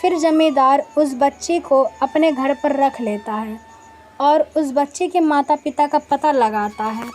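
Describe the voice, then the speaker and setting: High-pitched woman storyteller over music. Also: measured pace, a little mellow. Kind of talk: scripted narration of a moral folk tale.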